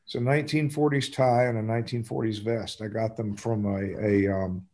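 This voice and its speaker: An older man with an American accent talks casually over a video call. His voice sits at a middling pitch, and he rambles in a halting, self-deprecating way, like an amateur actor at a talkback. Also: fairly even intonation, a little mellow. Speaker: community theater actor. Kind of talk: casual chat at an online talkback. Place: indoors at home on a video call. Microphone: video call microphone.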